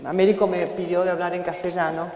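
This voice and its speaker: A woman's voice: on the low side, little pitch movement, quick pace, darkish tone. An older woman speaking on a conference panel, hesitating often and searching for her words. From Argentina. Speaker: panelist, foundation director. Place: conference hall. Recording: echoey hall with long reverb.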